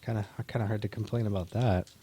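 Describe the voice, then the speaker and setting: A man co-hosting a casual stream, chatting in a relaxed, halting way with frequent restarts. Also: fairly low pitch, slight background noise. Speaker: co-host opening card packs. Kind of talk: casual chat.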